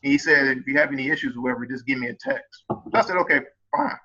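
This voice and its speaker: A man calling in as a student, explaining haltingly with many fillers. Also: mid-range voice, sharp tone, slightly rough. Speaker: student caller. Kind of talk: casual call. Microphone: online call. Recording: dull lo-fi call sound.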